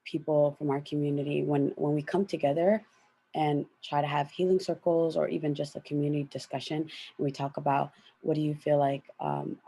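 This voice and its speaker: A woman's voice: deep voice, steady tone, a little fast, warm tone, husky. A young woman speaking thoughtfully on a discussion panel, with plenty of fillers. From United States.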